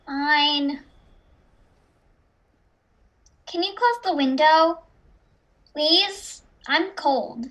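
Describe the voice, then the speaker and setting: Female actor as small child, audio drama, high voice, slow simple delivery, curious, grudging. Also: fairly bright tone, heavily acted. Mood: reluctant.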